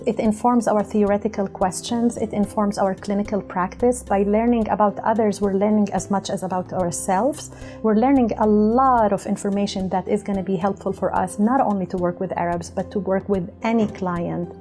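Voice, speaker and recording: A middle-aged woman professor explaining her academic work in an earnest, measured way. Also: mid-range voice, fairly even intonation, warm tone, faint music behind. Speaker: university professor and researcher.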